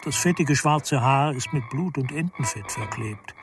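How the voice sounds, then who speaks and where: A male actor as a detached narrator tells an Arctic tale for a radio drama. His voice sits at a medium pitch and his delivery is measured and literary. Faint music plays behind. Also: animated, lightly acted.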